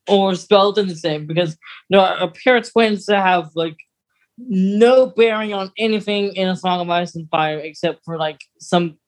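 A woman co-hosting a podcast, chatting casually in a playful, giggly way. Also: fairly low pitch. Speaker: podcast co-host. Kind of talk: casual chat about a radio drama.

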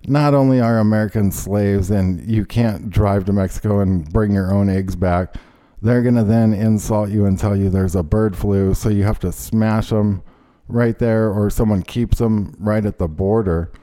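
A man's voice: lowish voice, fairly even intonation, normal speed, mellow. A man hosting a talk show, ranting in a rambling, indignant way with lots of fillers. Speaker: show host. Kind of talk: ranting casual chat.

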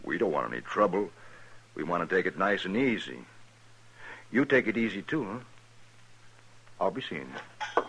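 An actor playing a smooth, taunting outlaw gang boss in a 1950s radio western, goading with mock politeness. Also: male voice, low voice, sing-song, fairly bright tone, exaggerated voice, poor audio. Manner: slow-goading, mock-peaceable. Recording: old radio recording, faint noise.

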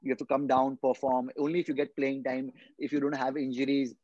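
A young man from India speaks earnestly in a casual podcast chat, often circling back and repeating words while he explains. His voice sits at an ordinary middle pitch. The recording is dry and a little dull. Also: flat delivery, fairly quick, a little mellow, no room sound. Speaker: guest footballer.

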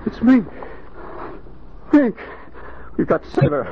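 Bewildered husband, muffled old radio. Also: male voice, very high-pitched, sing-song, slow, slight background noise, heavily acted. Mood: bewildered alarm. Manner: urgent, bewildered. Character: bewildered, alarmed husband and father. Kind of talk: 1950s radio drama acting.

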